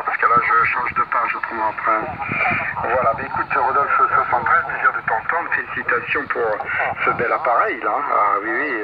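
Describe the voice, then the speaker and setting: Middle-aged male radio ham, casual repetitive chatter, distant sound, heavy static noise. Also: mid-range voice. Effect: shortwave radio transmission. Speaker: amateur radio operator. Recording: distant, dull, very noisy shortwave radio.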